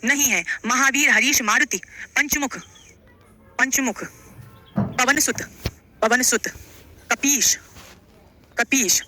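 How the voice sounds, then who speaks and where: Bright, high-pitched, fast-talking woman teacher. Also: faint music behind. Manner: instructive, repeats phrases, addresses students affectionately with questions. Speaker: Hindi grammar teacher for exam coaching. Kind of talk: live online lesson, explaining quiz questions.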